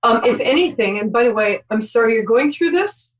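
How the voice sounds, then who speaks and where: A woman's voice: fairly high pitch, lively intonation, a little fast, highs cut off. A middle-aged woman hosting a call-in psychic show, chatty and reassuring, rambling with many ums.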